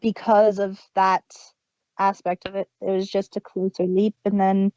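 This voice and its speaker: A woman's voice: mid-range voice, flat delivery, unhurried, mellow, no room sound. A woman co-hosting a casual review podcast. She talks in a chatty way, with hesitations and frequent restarts. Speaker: podcast co-host. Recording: dull lo-fi dry home mic.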